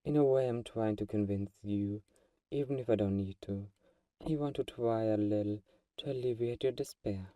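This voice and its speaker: A man playing a possessive vampire who is gentle, coaxing and condescendingly protective, in a soft-spoken, intimate ASMR roleplay. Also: lowish voice, normal speed, dry recording, clean studio sound, recorded from a distance, close to an everyday voice. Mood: soothing, coaxing.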